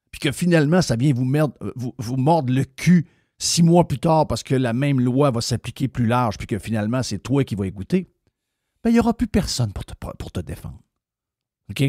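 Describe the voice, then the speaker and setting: A man's voice: fairly high pitch, darkish tone, no room sound, clean studio sound. A man with a Québécois French accent hosting a radio talk show, ranting bluntly and swearing freely. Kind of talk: opinionated radio rant.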